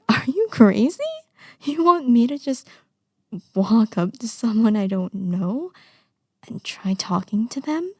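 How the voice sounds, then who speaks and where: A woman playing a lovesick, manipulative young yandere under arrest in an intimate audio roleplay, with a breathy delivery that slips into whispers. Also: fairly high pitch, darkish tone, no echo, clean studio sound, heavily acted. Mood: flustered, defensive. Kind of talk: intimate audio roleplay acting addressed to the listener.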